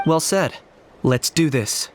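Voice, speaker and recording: A man playing a composed, courteous young adventurer in a video game, calm and measured in manner. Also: fairly high pitch, slight background noise. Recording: loud game music behind.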